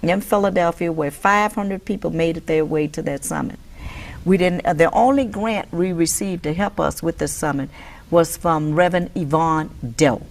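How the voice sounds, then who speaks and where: A woman organizer recalling her campaign work in an interview, unhurried and restarting phrases with fillers. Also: fairly low pitch. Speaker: welfare rights organizer. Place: dark studio, indoors.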